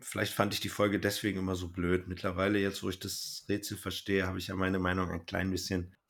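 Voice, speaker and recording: A man's voice: deep voice, monotone, brisk, vocal fry, fairly bright tone. A man speaking informally as a podcast host in casual review chat, with a dry, joking manner.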